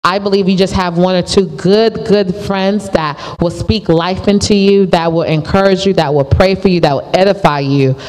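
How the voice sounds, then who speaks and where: A woman's voice: on the low side, fairly even intonation, normal speed, hardly any pauses, darkish tone, big-room sound, poor audio. A woman teaching a pre-teen church class from a stage with a handheld mic, earnest and often repeating herself.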